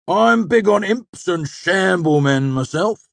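Man playing a grouchy, animated older student, pitched up. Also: moderate pace, dry recording. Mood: mocking. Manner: blunt, wry, grouchy. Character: eccentric older male student, teasing and grumbling.

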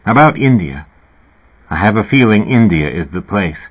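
A man voicing a despairing, timid middle-aged man who speaks quietly and hesitantly. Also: fairly low pitch, animated, moderate pace, breathy edge. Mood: hopeful, hushed. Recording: thin phone-like radio broadcast.

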